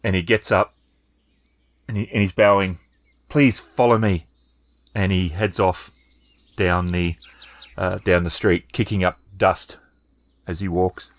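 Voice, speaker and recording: A man narrating a tabletop game as game master, improvising with halting, hesitant phrasing. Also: low voice, level tone.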